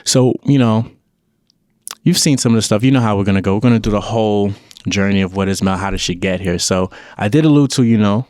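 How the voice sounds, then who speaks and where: A man's voice: on the low side, fast talker, darkish tone, no room sound, studio quality. A man hosting a podcast, chatting in a loose, laid-back way with streetwise slang. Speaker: podcast host. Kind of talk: casual interview chat. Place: indoor room.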